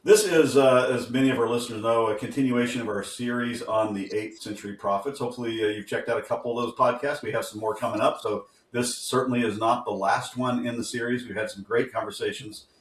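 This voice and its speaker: A man's voice: mid-range voice, fairly even intonation, fast talker, few pauses. A groggy man co-hosting a podcast, chatting casually and rambling with lots of fillers and self-corrections. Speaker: podcast co-host. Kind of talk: casual interview talk. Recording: roomy sound from a distant mic.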